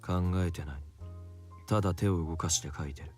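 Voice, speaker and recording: A man acts a brooding young artist in a visual novel. His voice is low and detached, giving short, curt replies over faint background music. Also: very fast, a little mellow, natural voice. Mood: cool, detached. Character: Toa, cool curt brooding young male painter.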